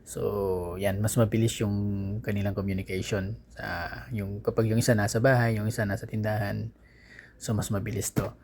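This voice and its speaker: A man's voice: on the low side, level tone, moderate pace, a little mellow, a little hiss or hum. A man with a Filipino accent giving a casual unboxing and review, informally explaining things step by step to his viewers. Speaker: vlogger, product reviewer.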